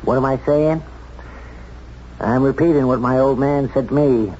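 Through the dull, slightly hissy sound of a 1970s radio drama comes a small-town American sheriff, acted by a man. He is plain-spoken and folksy, halting when he opens up, candid and unsure of himself, in a medium-pitched voice. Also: mellow, natural voice. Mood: halting, tender.

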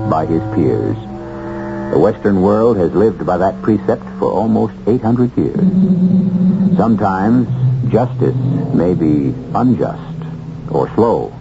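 Male mystery radio host: theatrical, deliberate, creaky bass delivery, muffled old broadcast sound. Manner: solemn, deliberate, theatrical phrasing. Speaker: radio drama host and narrator. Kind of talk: 1970s dramatic radio hosting, scripted narration. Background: music.

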